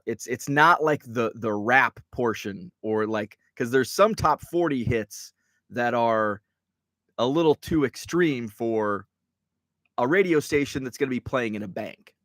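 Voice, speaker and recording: Middle-aged man, tired and congested host, rambling chatty delivery, lively pitch swings, dry close sound. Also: dry recording. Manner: rambling and chatty, with many restarts and asides. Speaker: host of a card-collecting show. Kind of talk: casual live-show chat. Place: card room.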